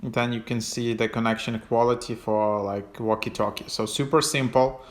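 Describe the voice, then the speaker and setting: Male tutorial host, mid-pitched, flat intonation, halting, casual unscripted app demo. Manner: hesitant, halting delivery with trailing phrases.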